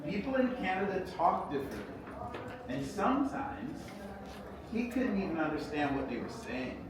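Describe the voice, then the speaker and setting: A man reads a children's story aloud as its author, theatrically, with expressive delivery and dramatic pauses. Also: sits high. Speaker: author reading own book. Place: echoey indoor event space. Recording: echoey room, distant mic, noisy background.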